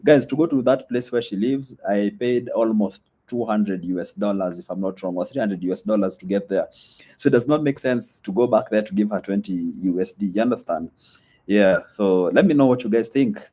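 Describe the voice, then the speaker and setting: A young man talks casually into a live stream. His voice sits on the low side, and his speech is loose, with plenty of fillers. His is Swahili. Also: brisk, warm tone, slightly rough. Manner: loose and chatty, many fillers, reads comments aloud. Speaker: vlogger hosting a live stream. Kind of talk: casual live-stream chat reading comments.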